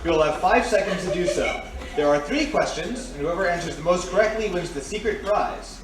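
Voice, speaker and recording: A man's voice: sits high, lively intonation, moderate pace. A man hosting a live radio theatre show before an audience, speaking in old-time announcer patter. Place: stage before a studio audience. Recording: roomy, distant live stage recording, heavy noise.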